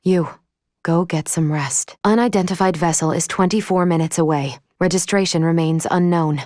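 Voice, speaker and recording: A woman voicing a composed, logical android starship officer in a video game, speaking formally and precisely with a measured, clinical manner. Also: fairly low pitch, fairly even intonation, darkish tone, dry recording, studio quality, lightly acted. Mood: calm, analytical. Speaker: ship's operations officer. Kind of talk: scripted video game voice acting.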